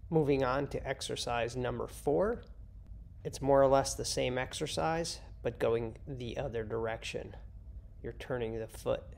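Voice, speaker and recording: A middle-aged man coaching skiing, calmly explaining foot movements and exercises while he demonstrates in a cluttered indoor workshop, filmed by a camera across the room. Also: medium pitch, a little slow, vocal fry. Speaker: ski coach. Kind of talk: instructional demonstration. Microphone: far camera. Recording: echoey room, mic far off.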